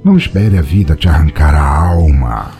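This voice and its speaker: A man's voice: rumbling low, expressive, normal speed, creaky, music in the background. A Brazilian man hosting a podcast, reading scripted narration and listener feedback in a theatrical, playful, informal manner. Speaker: podcast host.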